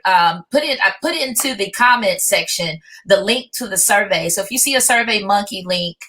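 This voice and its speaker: A woman's voice: on the low side, a bit bright. A woman hosting a seminar, making informal announcements in a polite, improvised way. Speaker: host, moderator.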